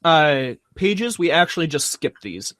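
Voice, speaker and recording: A man's voice: on the high side, very animated, measured pace, a bit bright, no room sound. A man casually prompting fellow readers as co-host during a script reading. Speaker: co-host calling out page changes.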